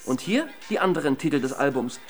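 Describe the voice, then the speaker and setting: A flippant male police sidekick played by an actor in a radio crime drama. He talks in a dry, wisecracking, slightly pedantic way at a light, high pitch for a man, with music playing behind. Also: animated, fast, natural voice. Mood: smug, explaining.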